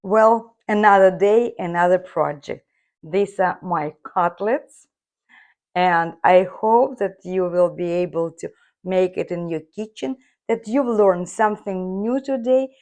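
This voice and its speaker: A woman hosting a cooking video from her home kitchen, her voice hoarse, chatting viewers through each step. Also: on the low side, unhurried, darkish tone. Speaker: cooking channel host. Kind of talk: casual cooking demonstration.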